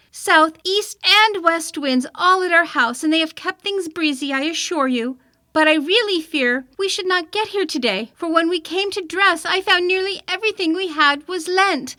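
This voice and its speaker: A chatty storybook matron, put on by a woman reading aloud. She is breezy and effusive, with a very high voice. The recording is dry. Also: pushed and bright, no room sound. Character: breezy, talkative storybook matron, windy month personified.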